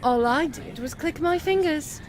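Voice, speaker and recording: Lucy, a woman acting high-voiced haughty god. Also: expressive, measured pace, music in the background. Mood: smug, flippant. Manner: arch, mocking, theatrical. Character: haughty, flippant female god, theatrical and volatile. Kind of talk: amateur fandub voice acting.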